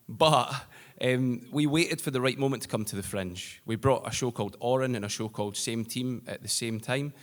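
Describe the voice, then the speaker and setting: Scottish male, lowish register, anecdotal and informal speechmaker, big-room reverb. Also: clear, resonant tone. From Scotland, near Edinburgh. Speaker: theatre company co-founder accepting an award. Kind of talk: acceptance speech. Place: live on stage at an awards ceremony. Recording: reverberant hall sound.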